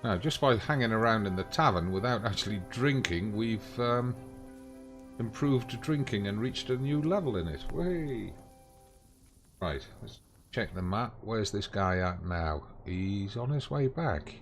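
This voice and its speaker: A man's voice: on the low side, animated, measured pace. A man giving casual live commentary on a video game, thinking aloud in short muttered phrases. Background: video game music.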